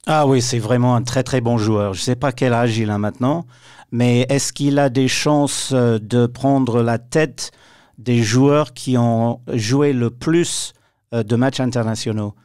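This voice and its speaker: A man's voice: medium pitch, fairly even intonation, unhurried, a little mellow, smooth, dry recording. Male sports pundit, dry studio sound. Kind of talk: radio sports commentary discussion.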